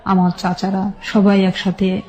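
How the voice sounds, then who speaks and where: A woman with a Bangladeshi accent shares her own story in a radio interview. Her voice is soft-edged and mellow, at an ordinary pitch. She recalls things in short, halting phrases. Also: completely flat delivery. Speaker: guest telling her life story.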